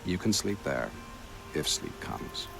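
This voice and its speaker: A courtly, wry advisor in a fantasy game. His voice is deep and creaky, with widely swinging intonation, and his phrasing is formal and measured. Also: sing-song, loud music behind the voice, exaggerated voice. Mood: wry, composed. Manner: formal, measured, drily witty. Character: urbane, wry, courtly royal advisor, mature man. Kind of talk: video game cutscene acting.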